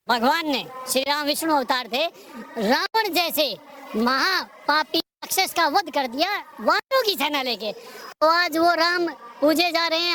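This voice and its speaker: A woman voicing a bright earnest cartoon disciple. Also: very high voice, a little hiss or hum, heavily acted, rough recording. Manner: firm, repetitive preaching cadence. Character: earnest male disciple, calm and assured.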